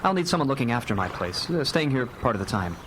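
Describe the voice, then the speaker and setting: A man's voice: mid-range voice, sing-song, fast, fairly bright tone. An actor in a live radio drama playing a principled, protective father and attorney, firm and articulate. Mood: confident. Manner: firm, articulate, slightly formal. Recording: noisy live radio play, watery ambience.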